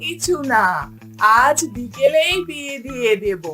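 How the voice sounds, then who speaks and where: A woman's voice: high-pitched, animated, measured pace, fairly bright tone, soft background music. A woman voicing an animal mother in a comic cartoon, eager to marry off her daughters, hesitant and evasive. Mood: sheepish, covering up. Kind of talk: cartoon voice acting.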